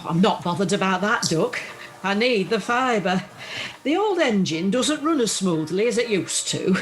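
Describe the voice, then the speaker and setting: A woman's voice: mid-range voice, lively intonation, measured pace, lightly acted. A woman playing a chatty, plucky older widow in an amateur radio play, with a Northern English accent and an earthy, self-deprecating manner. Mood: jokey, earthy. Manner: earthy, self-deprecating, uses homely dialect. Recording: faint music, home video-call recording.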